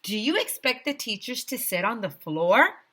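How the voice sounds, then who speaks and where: A woman's voice: on the high side, expressive, moderate pace, pushed and bright, voice pushed up. A woman voicing exasperated school staff in a children's storybook, exclaiming in disbelief. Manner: exclaiming, incredulous. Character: indignant, exasperated school teachers yelling protests. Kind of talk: children's storybook read-aloud.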